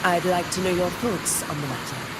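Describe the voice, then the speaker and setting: An actress playing a proud, commanding gladiator leader in a video game, speaking formally with stately self-assurance. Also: female voice, fairly low pitch, normal speed, heavy background noise, close to an everyday voice. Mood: respectful, stately. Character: proud, commanding female gladiator war leader, regal and battle-hungry. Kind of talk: dramatic video game character dialogue.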